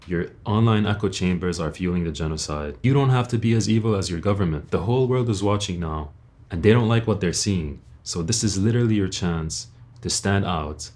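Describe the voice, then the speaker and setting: A man's voice: low voice, darkish tone. A young man from Lebanon speaking as a video creator in an earnest personal monologue, blunt and informal, often putting rhetorical questions.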